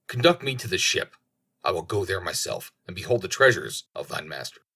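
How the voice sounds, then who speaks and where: A man's voice: deep voice, sing-song, brisk, sharp tone, breathy edge, exaggerated voice. Man putting on a theatrical young storybook princess. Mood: eager. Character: young princess, curious and proud, easily alarmed.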